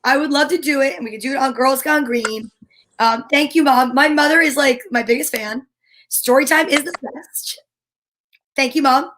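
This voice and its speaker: A woman with a high voice co-hosts a casual chat show. She is talkative and joking, rambling from one thought to the next. The livestream audio carries faint noise and clicks. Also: moderate pace, fairly bright tone. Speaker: show co-host.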